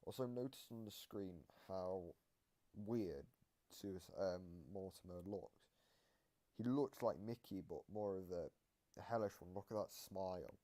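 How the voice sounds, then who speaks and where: A man giving casual reaction commentary, explaining game lore in a halting, repetitive way. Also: sits low, dark tone. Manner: explanatory, halting, repeats himself.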